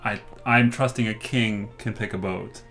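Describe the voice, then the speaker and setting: A man's voice: fairly low pitch, fairly even intonation, a little slow, fairly bright tone, faint music behind. A man chatting casually and making joking reactions while playing a game. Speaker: game player. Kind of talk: casual chat.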